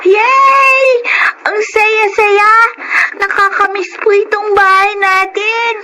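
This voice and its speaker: Very high-pitched small Filipino child. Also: fairly even intonation, slow. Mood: delighted. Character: young child of a poor family, plaintive and sweet. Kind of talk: Filipino radio-style drama acting. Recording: very noisy radio drama with background ambience.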